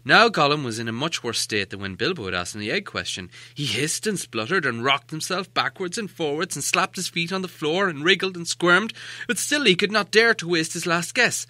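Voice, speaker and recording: Bright, animated male storybook narrator. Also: fairly high pitch, brisk, dry recording. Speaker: audiobook narrator.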